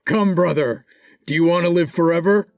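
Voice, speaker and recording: An actor playing a bold, loyal, battle-loving warrior with a rough, husky voice in a sci-fi audio drama. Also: male voice, on the high side, normal speed, no room sound, faint music behind, lightly acted. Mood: exultant.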